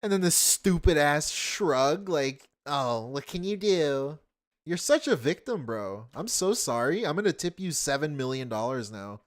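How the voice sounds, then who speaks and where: A man drawls out sarcastic, profane jabs at a video he is watching. His pitch swings widely between scoffing lows and mock-outraged highs, and he takes his time getting the words out. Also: very animated, a little slow. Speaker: streamer reacting to videos. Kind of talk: mocking live commentary.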